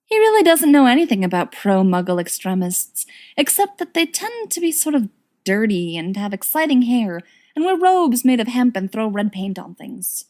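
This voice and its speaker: A woman's voice: on the high side, very animated, moderate pace, mellow. A woman reading a scripted fiction story aloud as an audiobook narrator. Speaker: audiobook reader.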